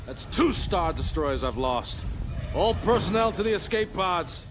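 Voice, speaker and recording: A man's voice: high voice, expressive, a little slow. A man playing a commanding, embattled rebel general in an audio drama. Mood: commanding, dismayed. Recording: space battle noise behind.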